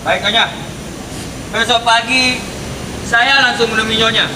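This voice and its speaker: A young man playing a sly, courteous, persistent gentleman in a stage drama. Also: very high-pitched, fairly even intonation, measured pace. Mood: politely insistent. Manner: courteous, teasing, insistent. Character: sly, polite, persistent gentleman pestering a married lady. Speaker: student stage actor. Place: outdoor car park stage. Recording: distant echoey stage recording with loud background noise.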